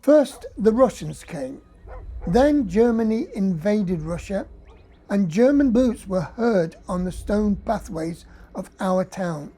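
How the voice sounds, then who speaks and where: A woman with a husky voice giving a quiet, grave first-person reading of a testimony. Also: medium pitch, lively intonation, takes it slowly, rough recording. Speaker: reader of a survivor's testimony. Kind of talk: read testimony.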